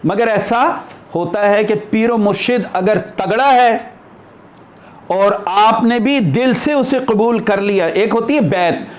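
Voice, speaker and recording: Man, high voice, spiritual teacher, informal religious discourse, emphatic explaining, rhetorical questions. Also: slow pace.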